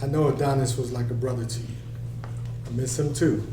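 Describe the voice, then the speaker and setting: A man's voice: medium pitch, steady tone, brisk, natural voice. A man playing a stern but caring middle-aged father and restaurant boss, scolding and reassuring by turns, in a screenplay table read. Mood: gentle, reassuring. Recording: echoey room, distant mic, faint hum.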